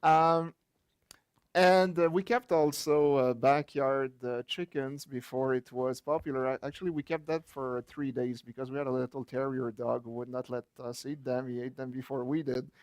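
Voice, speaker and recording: A man's voice: medium pitch, steady tone, normal speed, no room sound. Chatty French Canadian man, dry sound. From Quebec, Canada. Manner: chatty, anecdotal, with light chuckles and frequent fillers. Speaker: author and guest speaker. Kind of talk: public book-launch talk.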